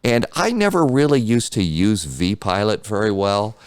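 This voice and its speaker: A man's voice: on the low side, very animated, normal speed, clean tone, no room sound. An American man hosting a casual, off-the-cuff flight simulator tutorial in a chatty, folksy, reassuring way. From United States.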